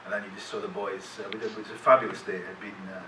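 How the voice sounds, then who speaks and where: A middle-aged actor telling rambling, self-deprecating stories as a guest on a convention panel, with many restarts and chuckles. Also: male voice, fairly low pitch, flat delivery, rapid-fire. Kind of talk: casual anecdotes to a live audience. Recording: echoey hall, distant mic, loud room noise.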